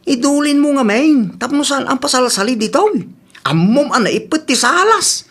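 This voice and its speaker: High-pitched grumbling spouse, played by a woman. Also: lively intonation, moderate pace, fairly bright tone. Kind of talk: radio comedy drama acting.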